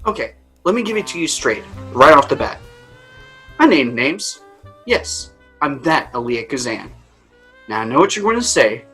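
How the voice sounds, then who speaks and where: An actor playing a defiant, self-justifying mid-century director in a staged reading, addressing the listener bluntly and emphatically in a theatrical monologue. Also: male voice, mid-range voice, very animated, moderate pace, pauses often, fairly bright tone, husky, soft background music. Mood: defensive, defiant.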